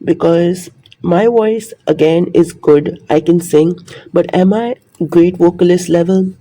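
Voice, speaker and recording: A man's voice: high voice, unhurried, warm tone, rough recording. A man talking in a rambling, earnest monologue to his viewers, repeating his points. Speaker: vlogger. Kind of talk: casual monologue to camera.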